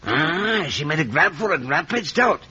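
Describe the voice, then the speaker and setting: An actor playing a kindly, meek village blacksmith with a gentle, hesitant, folksy way of talking in a radio drama. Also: male voice, on the high side, sing-song, normal speed. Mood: anxious. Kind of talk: 1950s radio drama acting. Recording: muffled, noisy vintage radio recording.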